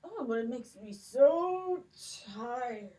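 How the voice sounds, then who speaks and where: A woman's voice: sits high, animated, takes it slowly, warm tone, recorded from a distance. A woman voicing a sleepy male giant in a library puppet show, groaning and mumbling as he nods off. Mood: drowsy. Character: giant man, sleepy, greedy for his tea.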